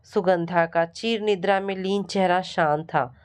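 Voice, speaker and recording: A woman's voice: on the low side, steady tone, measured pace, slight background noise. A woman storyteller giving a scripted, dramatic narration of a family story.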